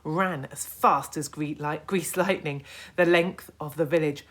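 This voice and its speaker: A woman narrating a children's storybook in a deliberate, expressive way, as a storyteller to young listeners. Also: low voice. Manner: deliberate, expressive, hushed at times.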